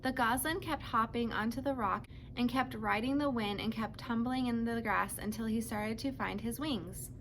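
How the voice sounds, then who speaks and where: A woman's voice: a little high, normal speed, hardly any pauses, a bit bright, a little hiss or hum. A woman narrating a children's book as a read-aloud, telling the story to young listeners. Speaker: storybook reader.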